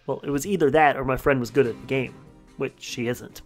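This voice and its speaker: A man's voice: mid-range voice, steady tone, faint music behind. A young man narrating a countdown voice-over with enthusiasm over gameplay footage. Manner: enthusiastic, brisk reading. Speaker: gaming channel host.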